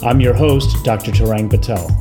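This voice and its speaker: A man hosting a podcast, introducing topics and interviewing guests in a somewhat halting way. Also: medium pitch, music in the background. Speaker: podcast host, physician.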